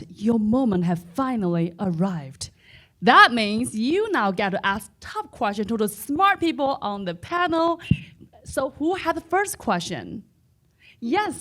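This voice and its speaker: A woman's voice: a little high, fairly bright tone. A woman moderating a startup panel in an upbeat, emcee-like way.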